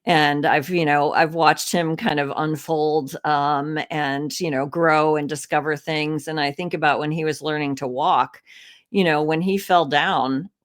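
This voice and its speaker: A woman speaking as a podcast guest, answering in a calm, earnest, reflective way. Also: low-pitched, a little slow.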